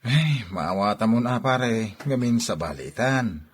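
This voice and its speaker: A man's voice: mid-range voice, expressive, measured pace, slight background noise, natural voice. A man with an Ilocano accent playing a sympathetic friend who gives casual advice in a radio drama. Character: sympathetic male buddy giving casual advice.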